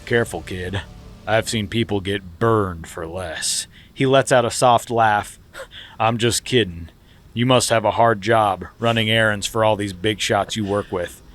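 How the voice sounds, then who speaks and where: A man's voice: unhurried, fairly bright tone, soft background music. Man doing a folksy, menacing big villain. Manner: low folksy drawl, hollow menace. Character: huge folksy male villain businessman, menacing. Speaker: podcast host.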